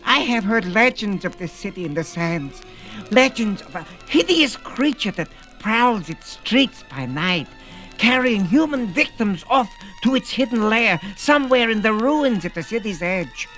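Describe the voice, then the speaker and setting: A man's voice: sits high, big pitch swings, a little slow, pushed and bright, soft background music, exaggerated voice. An actor playing a small, twisted, fearful man, a barbarian's companion who pleads anxiously in ornate 1970s fantasy audio drama style. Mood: pleading, fearful.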